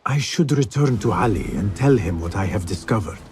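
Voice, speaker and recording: An actor playing a serious, principled assassin investigator in a video game, speaking directly and formally with restraint. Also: male voice, on the low side, animated, brisk, close to an everyday voice. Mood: calm, inquiring. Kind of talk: dramatic video game cutscene.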